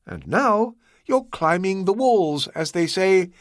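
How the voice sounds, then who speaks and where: A suave crime boss with a silky, quietly threatening voice, as a male narrator puts him on. The voice sits high above the reader's own and swings lively. It is courteous on the surface and cold underneath, recorded dry and close. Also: slow, no echo. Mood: gently mocking. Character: suave, soft-spoken, menacing older male crime boss.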